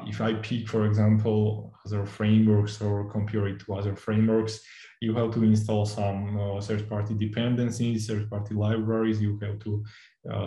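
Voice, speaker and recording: A man's voice: on the low side, warm tone, echoey room. A young adult man from Georgia with a Georgian accent, an instructor answering interview questions in English, hesitant, with many fillers and restarts, laughing often.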